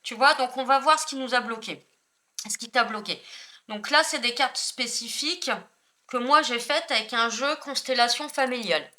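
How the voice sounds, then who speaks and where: Bright-voiced French woman, rambling reading. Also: on the high side, moderate pace. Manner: rambling, self-correcting, many fillers. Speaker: spiritual tarot reader. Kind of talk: casual spoken card reading.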